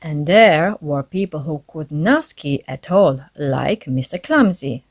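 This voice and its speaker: A woman reading a children's story aloud in an expressive, deliberate storybook manner. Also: sits low.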